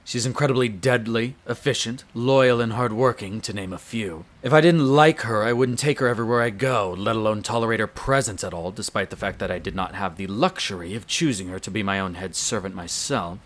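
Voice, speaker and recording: A man's voice: on the low side, fairly quick, few pauses, natural voice. A man playing a proud, imperious vampire heir in an audio roleplay, speaking in formal, haughty phrases. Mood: haughty, irritated. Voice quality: haughty, clipped formal diction.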